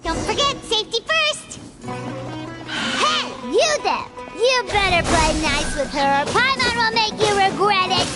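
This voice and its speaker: A woman voicing a small, bossy and excitable female sprite companion in a game, with a squeaky, chirpy voice. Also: slow pace, heavily acted. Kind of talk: game voice acting. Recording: game audio with loud music.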